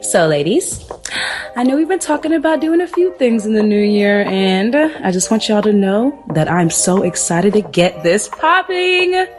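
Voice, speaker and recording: A woman's voice: mid-range voice, big pitch swings, a little slow, soft background music. A woman playing an enthusiastic entrepreneur who addresses her circle of friends in an upbeat, organizing manner in an audio drama. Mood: excited.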